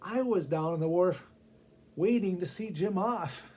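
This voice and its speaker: A man's voice: sits high, a little slow, darkish tone, a little hiss or hum, pitched above the performer's own voice. A man voicing a tardy schoolboy in a storybook tale, candid, polite and earnest as he makes excuses. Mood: earnest excusing.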